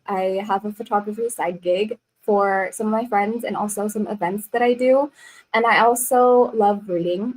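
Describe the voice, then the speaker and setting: A woman's voice: a little high, low-quality recording. A woman in her twenties, a nursing student, talking casually as an interview guest, chatty and rambling with many fillers. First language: English. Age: 20s.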